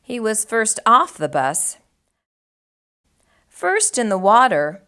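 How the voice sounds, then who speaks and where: A woman hosting a storytime, reading a children's book aloud in an animated style for young listeners. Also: a little high, slow.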